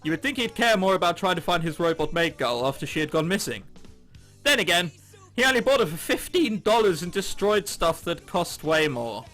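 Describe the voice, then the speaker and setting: A man hosts a visual novel playthrough in a high, very animated voice. His tone is bright and forceful, with jokey asides between the lines he reads. Soft game music runs behind him. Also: fairly quick. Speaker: gaming video host. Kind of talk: reading a visual novel aloud with jokey commentary. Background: game background music.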